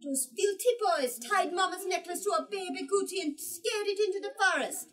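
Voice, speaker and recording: Woman doing a high-pitched, plucky young girl. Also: moderate pace. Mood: indignant. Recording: distant from the microphone.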